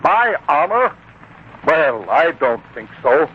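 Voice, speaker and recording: A tinny, faintly noisy 1940s radio drama recording of a self-important employer. His voice sits a little high and swings with wounded pride, pompous and quick to take offense, coaxing one moment and indignant the next. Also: sing-song. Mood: indignant, menacing. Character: proud, petty, self-important male employer, easily offended.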